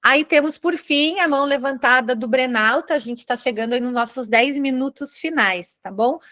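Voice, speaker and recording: A woman's voice: high voice, moderate pace, pushed and bright. A woman moderating a virtual Q&A forum on a video call, explaining things in a friendly way and often adding tag questions. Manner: friendly, explanatory, frequent "né" tags. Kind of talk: online meeting moderation.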